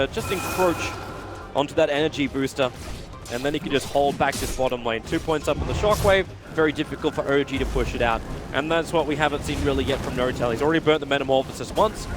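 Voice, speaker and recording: Australian male play-by-play caster, higher voice, ramps up fast in fights, music bed behind. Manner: builds to excited shouting in fights. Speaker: esports caster. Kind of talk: play-by-play game commentary.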